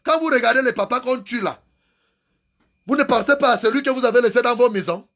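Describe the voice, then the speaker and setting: High-voiced angry man. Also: fairly quick, fairly bright tone. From Cameroon. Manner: angry, insulting, repeats phrases for emphasis. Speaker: activist vlogger. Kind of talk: impassioned political rant.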